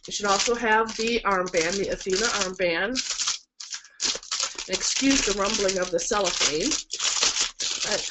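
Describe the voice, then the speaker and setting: A woman's voice: medium pitch, heavy background noise. A woman florist with a cold and a nasal, stuffed-up voice, chatty and encouraging as she demonstrates a project in a webinar, with frequent ums. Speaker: florist instructor. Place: work table indoors. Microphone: camera mic.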